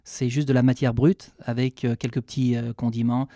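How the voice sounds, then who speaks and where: A man with a French accent from France speaks as a radio guest, frank and personal, often stopping and restarting his sentences. His voice sits at a middle pitch. The studio sound is dry and close. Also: level tone, normal speed, dark tone, dry recording. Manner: candid, hesitant with frequent restarts. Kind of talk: radio interview answers.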